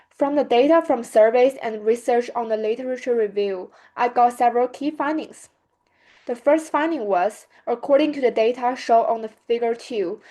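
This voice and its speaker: A young woman whose first language is Mandarin Chinese, giving a prepared student presentation in a steady, earnest way with small restarts. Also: a little high.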